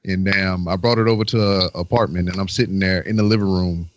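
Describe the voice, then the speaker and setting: A man's voice: deep voice, steady tone, fast. A man telling anecdotes in casual banter, swearing freely, on a dull recording. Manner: crude, heavy swearing, anecdotal. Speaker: military veteran.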